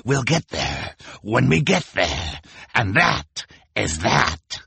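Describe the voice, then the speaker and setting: A man voicing a wicked, impatient storybook villain with a raspy snarl and a sharp, domineering manner. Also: lowish voice, sing-song, takes it slowly, no echo, voice pushed up, heavily acted. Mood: irritated snarl.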